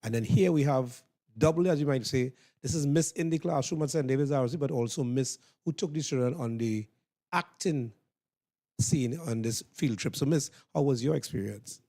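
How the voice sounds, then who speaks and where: Male radio interviewer, mellow tone, live backstage chat. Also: medium pitch, moderate pace.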